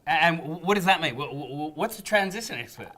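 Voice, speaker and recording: Bright-voiced man hosting a talk show. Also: fairly high pitch, lively intonation, quick pace. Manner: eager, repeats words when starting questions. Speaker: host.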